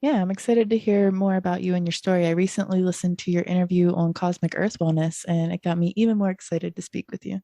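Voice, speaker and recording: A podcast co-host speaking enthusiastically and warmly about the guest's journey. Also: mid-range voice, fairly even intonation, fast talker, vocal fry, hardly any pauses, no room sound. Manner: enthusiastic, gushing. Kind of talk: casual interview chat.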